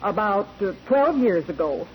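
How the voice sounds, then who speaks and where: A matter-of-fact older widow witness in an old radio play, measured answers, slight hiss. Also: female voice, mid-range voice, big pitch swings. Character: mild, matter-of-fact older widowed boarding house landlady. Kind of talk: 1940s radio drama acting. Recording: noisy old 1940s radio recording.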